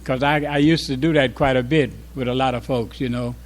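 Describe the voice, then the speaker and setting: An older man, a former imam, speaks informally to a congregation, reminiscing in a halting, reflective way with pauses mid-sentence. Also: medium pitch, fairly even intonation. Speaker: former resident imam giving an address.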